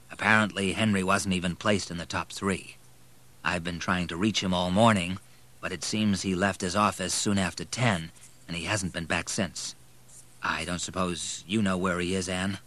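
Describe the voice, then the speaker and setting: A man voicing a kindly, loyal banker friend in an audiobook, gentle and soothing. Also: low-pitched, fairly even intonation, normal speed. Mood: measured. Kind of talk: audiobook character voice.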